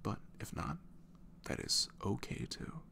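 A man speaking softly and casually as he introduces his ASMR audio roleplay. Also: measured pace, slight background noise.

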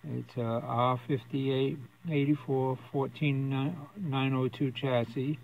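A man giving a casual hands-on demonstration in a plain, unhurried, halting way. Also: mid-range voice, steady tone, gravelly. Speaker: radio restorer demonstrating his work. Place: basement with concrete walls. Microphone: handheld camera, operator behind it. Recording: dull lo-fi camera audio, faint noise.

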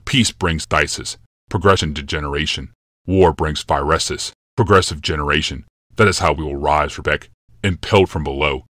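Dude doing a grim emperor, super deep, slow heavy lines, dry. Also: male voice, fairly bright tone, no room sound. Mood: cold. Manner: slow, solemn pronouncements. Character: grave, towering male emperor obsessed with struggle.

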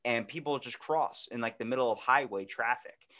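A man in his twenties chatting casually as a podcast host, telling stories in an animated, slangy way with frequent swearing. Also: medium pitch, moderate pace. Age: 20s. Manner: animated, profane, slangy storyteller. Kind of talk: casual chat, storytelling. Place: indoor room, podcast video call.

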